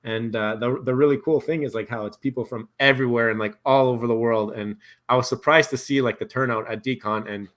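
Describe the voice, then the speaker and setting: A man hosting a casual video call chat, relaxed and rambling, laughing easily. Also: medium pitch, fairly quick, hardly any pauses. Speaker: host.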